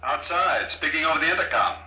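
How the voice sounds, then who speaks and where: An actor playing a polite, gloating conspirator in a 1950s radio drama, formal and composed, speaking over an intercom. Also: male voice, a little high, brisk, pushed and bright. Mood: cool, controlled. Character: coolly menacing male conspirator, polite and gloating. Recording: echoey vintage radio intercom sound.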